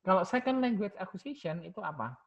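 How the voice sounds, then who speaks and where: A male university lecturer with an Indonesian accent reviewing the course in an online class, halting and full of fillers and repeated "yeah". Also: sits high, animated, vocal fry, muffled recording.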